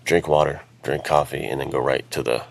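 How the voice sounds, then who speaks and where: A man's voice: very deep voice, steady tone, a little fast, creaky, slight background noise. A man chatting casually as a podcast co-host and writer, giving practical advice in informal language.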